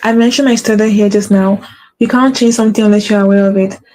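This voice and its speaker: A woman's voice: medium pitch, steady tone, fast talker, warm tone, low-quality recording. A woman with a stutter hosting a faith-based motivational talk, repeating her key phrases for emphasis. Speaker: podcast host.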